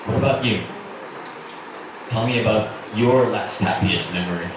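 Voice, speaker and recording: Man as gentle lovestruck young man, very echoey. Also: fairly low pitch, moderate pace, lightly acted. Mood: encouraging. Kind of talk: dramatic reading of romance dialogue. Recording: echoey, noisy room from far off.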